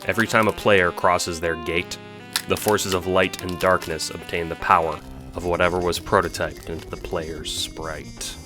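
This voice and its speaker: Deep-voiced man narrating an audio drama. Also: flat delivery, normal speed, over music. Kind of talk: dramatic scripted second-person narration.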